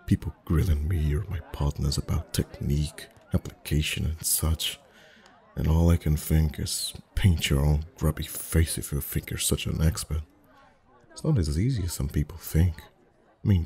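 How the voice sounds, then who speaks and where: A man acting as a friendly festival face painter with a very deep, mellow voice. He is soft-spoken and chatty, gently teasing and flirting as he reassures his customer in an intimate ASMR roleplay. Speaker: voice actor.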